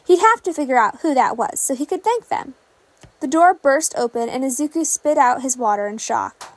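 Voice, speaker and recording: High-pitched woman narrating a story. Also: expressive, moderate pace, no echo. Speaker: fan fiction reader.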